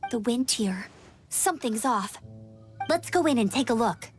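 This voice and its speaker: Eager young scout girl for a game, high voice, earnest and plucky, faint music under it. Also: unhurried. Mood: wary, alert. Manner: earnest, youthful, expressive. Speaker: scout knight character. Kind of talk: video game voice acting.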